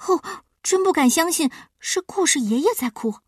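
High, chirpy little chicks. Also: female voice, animated, normal speed, no echo, clean studio sound. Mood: eager. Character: eager young chicks, chirpy and lively.

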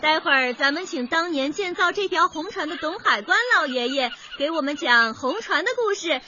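A brisk female teacher rallying children in a radio drama. Her voice is extremely high and sharp. The recording is dull with faint noise. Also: animated. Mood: cheerful, brisk. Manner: bright, projected, instructing a group. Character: brisk, cheerful female schoolteacher addressing pupils.

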